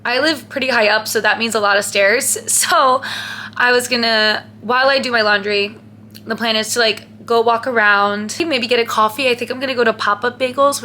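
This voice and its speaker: A young woman in her twenties talks casually to her camera in a lifestyle vlog. Her voice sits a little high and sounds crisp and bright. She is upbeat and friendly, and she chats loosely with plenty of "like" and "um". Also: normal speed, soft background music. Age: 20s. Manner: chatty, filler-heavy with frequent "like" and "um". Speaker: lifestyle vlogger.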